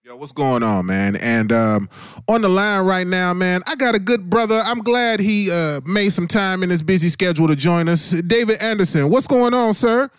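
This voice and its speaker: A man's voice: high voice, animated, normal speed, clean tone, no echo, clean studio sound. A man hosting a radio show, interviewing in an informal, slangy way with chummy address. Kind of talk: casual conversational interview.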